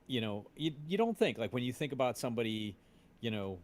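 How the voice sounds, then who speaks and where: A man co-hosting a casual chat, speaking in a rambling, halting way. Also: mid-range voice, very animated. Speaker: show co-host. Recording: faint background noise.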